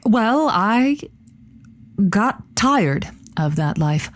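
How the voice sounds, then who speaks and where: A glamorous radio agony aunt in a comedy podcast. She speaks slowly and grandly in a very dry, close recording, savoring her own worldly importance. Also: medium pitch, sing-song, no room sound. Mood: grand, self-important. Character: glamorous, theatrical radio love-advice host, grandly boastful woman. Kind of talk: scripted audio comedy acting.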